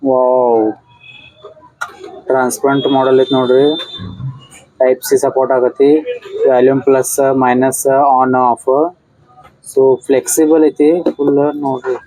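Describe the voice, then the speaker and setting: A man's voice: medium pitch, level tone, measured pace, long pauses, warm tone, no room sound, rough recording. A man with a North Karnataka accent giving a casual product unboxing and review, in a repetitive way with short exclamations. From Karnataka, India.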